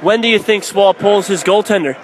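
A man calling radio play-by-play of a hockey game, climbing to shouts on shots and saves. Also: high voice, fairly quick, some chatter behind, slight background noise. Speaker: play-by-play announcer.

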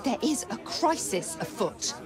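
A woman's voice: a little high, big pitch swings, measured pace. An actress playing a stern, officious female city guard in a video game, with a commanding manner. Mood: stern, alarmed. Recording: crowd and music behind.

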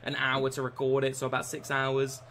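A young man in his twenties with a croaky voice, chatting and swearing through a live football game stream from a small room. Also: mid-range voice, monotone, moderate pace, slight background noise. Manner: swears often, shouts and laughs at the game. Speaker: gaming streamer. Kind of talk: live gameplay chat.

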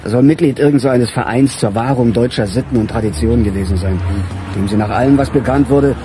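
An actor playing a weary middle-aged police chief in a radio crime drama, with a dry, musing, sardonic manner. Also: male voice, medium pitch, quick pace, mellow, faint music behind, lightly acted.

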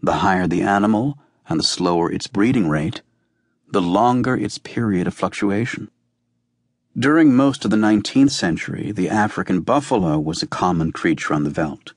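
A man reading a novel as an audiobook narrator, with measured, deliberate phrasing. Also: deep voice, breathy edge, dry recording, studio quality. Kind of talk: scripted literary narration.

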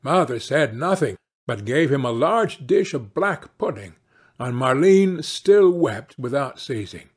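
A man's voice: mid-range voice, very animated, unhurried, slightly rough. A man narrating a fairy tale as a scripted audiobook.

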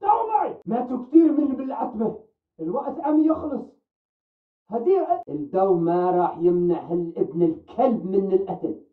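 Echoey, thin-sounding militant. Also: male voice, sits high, animated, a little fast, pauses often, recorded from a distance, phone-quality sound. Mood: grim, commanding. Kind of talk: video game dialogue.

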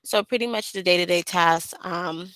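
A young woman speaks through a headset on a video call from a small room, answering interview questions with frequent "um" pauses. Her voice is bright and a little low, and the sound is dry and close. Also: clear, resonant tone, no echo, rough recording. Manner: hesitant, many "um" fillers. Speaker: job candidate.

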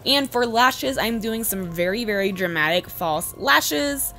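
A woman in her twenties with a slightly hoarse voice, giving a casual, chatty makeup tutorial voice-over. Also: fairly high pitch, expressive, measured pace, pushed and bright. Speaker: beauty vlogger, host. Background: background music.

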